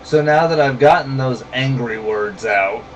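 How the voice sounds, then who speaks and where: A man with a Southern US drawl delivers a blunt, heated rant in a slow, deliberate way. He sits a little back from the microphone. A faint hum runs underneath. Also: medium pitch, recorded from a distance, low-quality recording. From rural southern United States. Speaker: vlogger. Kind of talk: ranting monologue to camera.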